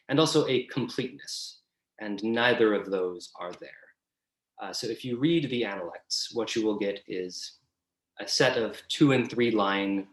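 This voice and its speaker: Roomy, echoey video-call sound; male guest lecturer from the US, lowish voice, conversational explainer. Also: a bit bright. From United States.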